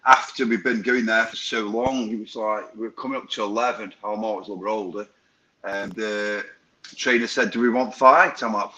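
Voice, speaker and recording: A man's voice: lowish voice, steady tone, a little fast, bright, forceful tone, poor audio. A man, a boxing promoter appearing as a guest, telling his story informally with many restarts. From Stoke-on-Trent area, England.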